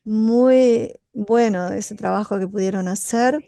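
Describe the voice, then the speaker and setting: A woman interpreting a colleague's words aloud in steady simultaneous interpretation on a video call. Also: mid-range voice, slow pace, creaky, no room sound.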